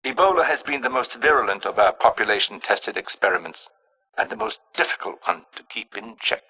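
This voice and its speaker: An actor playing a cold, arrogant scientist in a video game, narrating with clinical precision in a raspy, hard-edged voice. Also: male voice, mid-range voice, sing-song, brisk, bright, forceful tone, exaggerated voice, low-quality recording. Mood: clinical, detached. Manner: clinical, precise, self-important. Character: cold, arrogant male scientist engineering plagues and alien pathogens.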